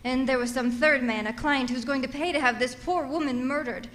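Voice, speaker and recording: High-pitched, nervous, imperious invalid wife. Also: female voice, fairly quick, a bit bright. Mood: agitated, anxious. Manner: flustered, stammering, insistent, haughty. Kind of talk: old-time radio thriller acting.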